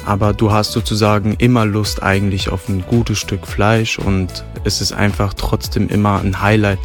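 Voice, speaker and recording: A man with a low voice speaks easily and at length as a restaurant host in a relaxed interview. He describes things fluently and fills gaps with small filler phrases. Faint music runs underneath. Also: normal speed. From Germany. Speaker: restaurant host, son of the owner. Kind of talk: casual radio interview. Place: restaurant terrace.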